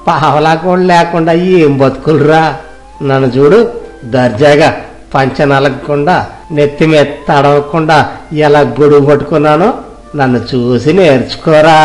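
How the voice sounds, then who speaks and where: A man's voice: a little high, close to an everyday voice. A man playing an arrogant, mocking rich village man in a moral story, speaking colloquial rural Telugu. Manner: mocking, boastful, condescending. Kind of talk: moral story audio drama. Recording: music behind the voice.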